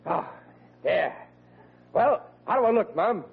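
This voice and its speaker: A man's voice: high voice, big pitch swings, slow, creaky, slightly rough, exaggerated voice. An actor playing an earnest, dim-witted young man with a naive manner in an old-time radio comedy. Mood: naive, eager. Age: young adult. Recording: tinny vintage radio recording with hum.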